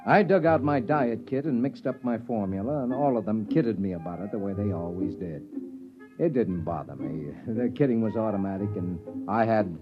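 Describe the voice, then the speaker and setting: Wry male space surveyor played by a man, narrating 1950s radio sci-fi. Dry yet highly inflected; muffled vintage sound, music behind. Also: animated, moderate pace, lightly acted. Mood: dry, confiding. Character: wry, matter-of-fact male space surveyor with a stomach ulcer. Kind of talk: 1950s radio drama first-person narration. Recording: dull vintage radio recording.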